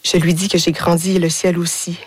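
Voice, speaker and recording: A young woman performs spoken-word poetry in a radio studio, her voice sitting a little low. She reads in a measured, deliberate way and lets each image land. The studio sound is close and dry, with no room echo. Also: level tone, slightly rough. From Haiti. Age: 20s. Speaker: guest poet.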